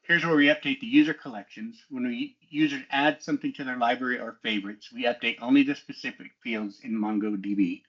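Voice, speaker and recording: A man's voice: medium pitch, steady tone, a little fast, few pauses, a bit bright, husky. Methodical man presenting, slightly muffled audio. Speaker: student developer presenting a software project. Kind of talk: technical walkthrough, semi-scripted presentation.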